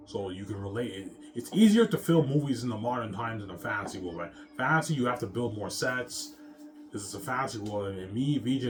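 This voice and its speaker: A man in a casual, rambling monologue, boastful and repetitive as he circles back over his phrases. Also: on the low side. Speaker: self-styled film maker talking about his movie projects.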